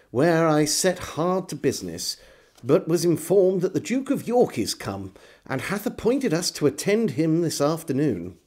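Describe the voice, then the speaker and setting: Casting: middle-aged English male narrator, lively intonation, soft-edged mellow tone, theatrical storybook delivery of old diaries. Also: a little high. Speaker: storyteller and host. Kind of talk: dramatic literary reading of a historical diary. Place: book-lined room at home, indoors.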